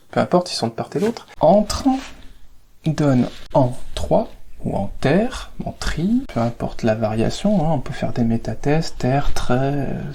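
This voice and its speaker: A man's voice: on the high side, vocal fry, mellow, slight background noise. A man from Paris with a Parisian French accent, explaining things informally in a conversational, digressive way and stressing key words. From Paris, France. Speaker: video host explaining etymology.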